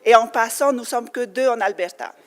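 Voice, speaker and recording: A woman's voice: a little high, normal speed, background noise. A woman from French Polynesia giving an earnest personal speech to a conference audience, with light laughs and fillers. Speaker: conference speaker. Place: conference hall. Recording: long hall reverb.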